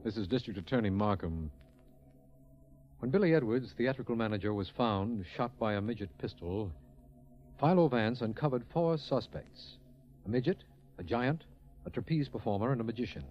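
A man playing a brisk, official district attorney in a 1940s radio drama, speaking formally. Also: mid-range voice, lively intonation, soft background music, lightly acted. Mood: formal, urgent. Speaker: district attorney and recap narrator. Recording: muffled 1940s radio recording.

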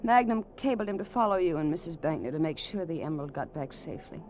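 Resolute dame, dramatic, very lively pitch, dull noisy 1940s radio. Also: female voice, quick pace. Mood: firm, accusing. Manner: earnest, dramatic. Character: resolute, emotional woman, secret wife of investigator. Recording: muffled, noisy old radio recording.

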